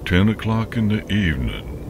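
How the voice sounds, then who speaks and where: A man voicing a puzzled, musing male pony railway attendant in a story reading, gravelly and muttering to himself. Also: fairly low pitch, very animated, moderate pace, background noise.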